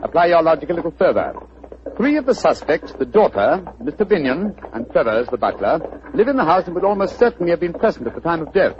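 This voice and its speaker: A sharp gentleman detective, brisk and confident, his voice rising and falling with lively energy. The old radio recording is dull, with loud hiss behind him. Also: on the high side. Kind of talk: 1940s radio acting.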